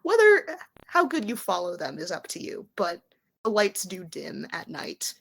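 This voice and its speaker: A woman running a tabletop roleplaying game, narrating casually and haltingly in a conversational way. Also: lowish voice, huge pitch swings, creaky, fairly bright tone. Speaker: game master.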